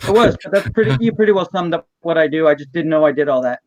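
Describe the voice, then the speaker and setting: A man's voice: on the high side, animated, fast, no room sound. A man chatting casually and candidly as an informal guest. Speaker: guest, costumed convention character performer. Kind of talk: casual chat.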